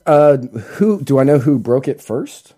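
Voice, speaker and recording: A mellow-voiced American man chats as a podcast co-host. His pitch sits in the middle, and he rambles along in a laid-back, joking way. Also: expressive, a little slow. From Northern Virginia, United States. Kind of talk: casual conversational podcast chat.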